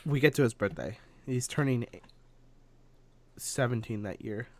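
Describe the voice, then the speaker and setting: A young man co-hosting a podcast, telling a casual story to friends in a halting, stop-and-start way. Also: mid-range voice, darkish tone. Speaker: podcast co-host.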